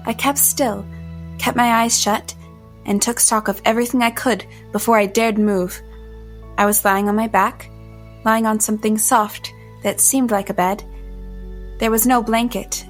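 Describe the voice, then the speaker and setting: An actress playing a hunted woman who tells her story as tense, deliberate first-person audio drama narration. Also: a little high, close to an everyday voice.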